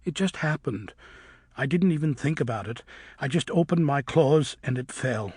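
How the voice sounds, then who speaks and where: A man's voice: medium pitch, animated, moderate pace, darkish tone, slightly rough, no echo, clean studio sound. Man playing a timid, stammering young bat. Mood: guilty. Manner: stammering, apologetic. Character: young male bat, timid and guilt-ridden.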